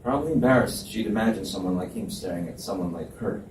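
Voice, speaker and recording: A man's voice: sits low, lively intonation, fast talker, a little mellow. A man narrating a scripted romance story at a live reading. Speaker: reader, narrator. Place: live reading in an echoey indoor room. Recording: echoey room, distant mic, background noise.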